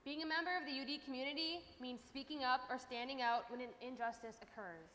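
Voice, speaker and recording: Young female stage reader, high voice, earnest declamation, reverberant auditorium. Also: a little fast, fairly bright tone. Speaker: student stage performer. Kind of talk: scripted readers theater recitation.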